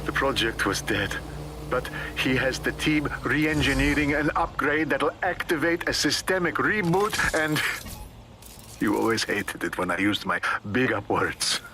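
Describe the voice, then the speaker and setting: A man playing an anguished, guilt-ridden son leaving a halting, sombre confession in a video game. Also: mid-range voice, noisy background, natural voice. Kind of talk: game cutscene audio message.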